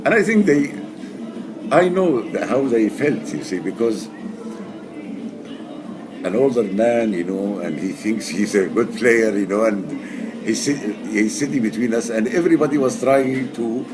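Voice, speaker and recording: A man in his sixties, raised in Egypt, answering interview questions casually in halting English full of fillers and restarts. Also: on the low side, lively intonation, background noise. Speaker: poker player guest. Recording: music playing behind.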